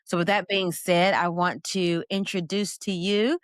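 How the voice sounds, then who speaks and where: Effusive woman podcast host, low voice. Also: steady tone, measured pace, dry recording, studio quality. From Florida, USA. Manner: effusive, sweeping pitch swings. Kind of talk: upbeat interview podcast.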